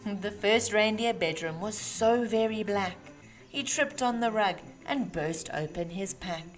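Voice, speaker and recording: A woman reading a rhyming children's storybook aloud with measured, emphatic phrasing for young listeners. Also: medium pitch. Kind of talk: expressive read-aloud of rhyming Christmas storybook. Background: music.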